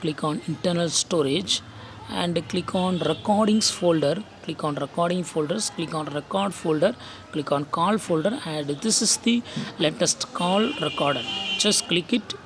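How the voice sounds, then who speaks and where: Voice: medium pitch, unhurried, background noise. A tech tutorial host narrating phone steps one by one, often repeating instructions, recorded outdoors.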